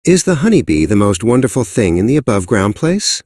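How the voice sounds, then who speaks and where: A man voicing a small, wondering, excitable mole in a children's story. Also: mid-range voice, brisk, breathy edge, no echo, clean studio sound.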